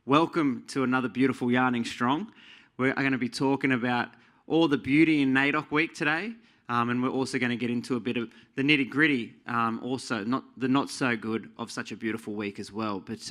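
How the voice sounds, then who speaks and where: A man's voice: medium pitch, steady tone, a little fast. Upbeat Australian man hosting a panel. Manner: soft reverent acknowledgement then upbeat enthusiastic hosting. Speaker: host. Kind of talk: live panel discussion hosting.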